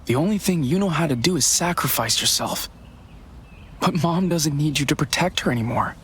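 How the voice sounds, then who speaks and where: Anxious young man, very expressive. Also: on the high side, normal speed, slight background noise. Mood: pleading. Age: young adult. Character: anxious, pleading young man with family trauma. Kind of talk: dramatic video game voice acting.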